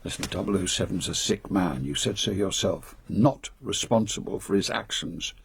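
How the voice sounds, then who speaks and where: Low, gravelly British spy chief. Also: male voice, moderate pace, slight background noise. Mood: brisk, commanding. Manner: clipped, commanding, formal. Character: authoritative older male British spy chief, brisk and ruthless. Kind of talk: radio drama acting.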